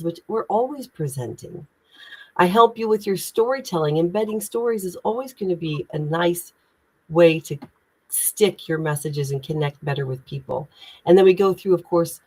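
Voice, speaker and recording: Energetic American woman, Midwestern accent. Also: on the low side, rough recording. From United States (Indiana). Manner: energetic, emphatic, inspirational. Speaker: guest, executive communication coach. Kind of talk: LinkedIn live conversation.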